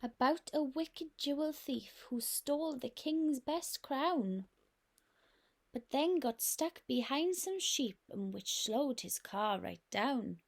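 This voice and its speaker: A woman reading a rhyming picture book aloud, gently and expressively. Her voice sits a little high, and she takes a slow, unhurried pace. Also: lively intonation, gravelly. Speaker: nursery teacher.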